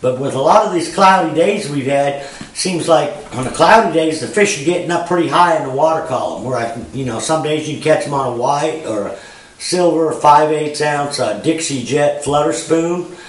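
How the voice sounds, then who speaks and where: Ozarks man in his fifties, folksy rambling fishing report, slightly high voice, distant mic in an echoey garage. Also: moderate pace. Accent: Missouri Ozarks. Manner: plain-spoken, rambling, frequent "you know" and "uh". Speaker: fishing guide giving a weekly report. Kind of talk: casual spoken fishing report. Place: garage with a bass boat, indoors. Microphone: far camera.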